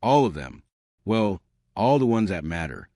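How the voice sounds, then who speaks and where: Fierce little female space captain, played by a male narrator, sarcastic and sure of herself, lively swings in pitch. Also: measured pace, dry recording, studio quality, heavily acted. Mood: cocky. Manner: sarcastic, confident.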